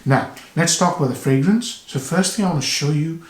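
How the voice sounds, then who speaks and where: A man with a cold, hoarse and with a blocked nose, reviewing a fragrance to camera with enthusiasm, repeating words and pausing for breath. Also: fairly high pitch, a little mellow. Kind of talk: casual product review to camera. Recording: echoey room.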